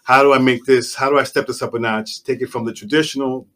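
A man's voice: mid-range voice, fairly even intonation, fast, fairly bright tone. Casual, reflective man chatting about his craft. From Jamaica, raised in the Bronx, New York. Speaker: chef, podcast guest. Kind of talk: casual conversational interview talk.

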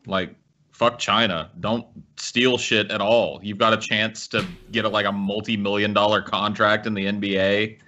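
A man's voice: lowish voice, level tone, moderate pace, bright, forceful tone, muffled recording. A man co-hosting a podcast with blunt, profane, casual commentary. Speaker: podcast co-host.

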